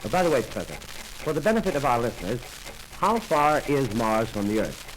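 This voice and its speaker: An actor playing a polite, brisk radio field reporter describing a scene live in a 1930s radio drama. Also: male voice, mid-range voice, big pitch swings, background noise, lightly acted. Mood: earnest.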